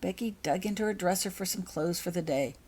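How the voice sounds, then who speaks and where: A woman's voice: lowish voice, a little fast, darkish tone, breathy edge. A woman author reading her own story aloud as scripted audiobook narration.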